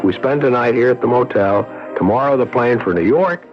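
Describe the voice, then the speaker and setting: An American actor playing a devoted new husband in a 1970s radio drama, tender, coaxing and emphatic. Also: male voice, medium pitch, fairly quick, lightly acted. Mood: adoring, playful. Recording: dull old radio recording, music behind.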